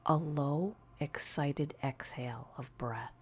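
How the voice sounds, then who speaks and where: A woman narrating a scary story in a soft, breathy ASMR style, often near a whisper, hushed and deliberate. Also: low voice, background noise.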